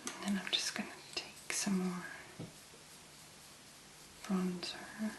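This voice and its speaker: A woman speaking in a breathy, whispery voice, gently and unhurriedly murmuring step by step through a soft-spoken ASMR tutorial as its host. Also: lowish voice, little pitch movement, slow, echoey room.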